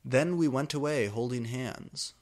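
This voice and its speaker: Man reading fan fiction aloud. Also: medium pitch. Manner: deliberately reads typos and abbreviations literally.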